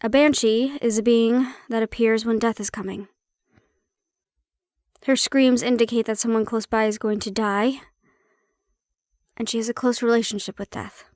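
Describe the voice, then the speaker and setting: Guarded, high-voiced hybrid creature played by a woman. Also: fairly even intonation, normal speed, long pauses, darkish tone, no room sound, studio quality, close to an everyday voice. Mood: candid, wry. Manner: conversational, explanatory, teasing, guarded. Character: prickly, guarded young banshee-siren hybrid woman. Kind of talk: intimate audio roleplay, speaking to the listener.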